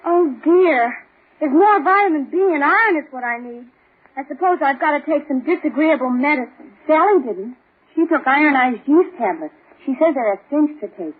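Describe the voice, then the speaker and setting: A woman's voice: sits high, animated, normal speed. A chatty young woman in an old radio ad. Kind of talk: 1940s radio commercial acting. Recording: tinny old radio broadcast.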